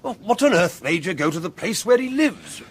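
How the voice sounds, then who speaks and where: An actor playing a gruff, exasperated English aristocrat in a period radio drama, with an upper-class English accent and an imperious, blustering manner. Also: male voice, high voice, very animated, moderate pace, heavily acted.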